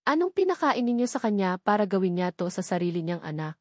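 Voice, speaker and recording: A woman's voice: mid-range voice, sing-song, fast talker, no room sound, clean studio sound. A woman voicing a betrayed, furious young heiress in an audio drama, accusing and emphatic in manner. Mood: angry accusation.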